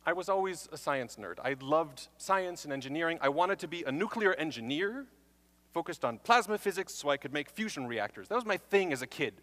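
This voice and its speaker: Lively, bright-voiced man on a stage. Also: on the high side. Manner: animated and self-mocking storytelling. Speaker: speaker. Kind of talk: stage talk with personal stories. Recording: long hall reverb.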